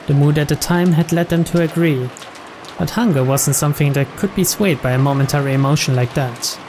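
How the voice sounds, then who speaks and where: A man's voice: fairly high pitch, a little fast, background noise. A man reading visual novel narration aloud for a game playthrough. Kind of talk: scripted narration read aloud.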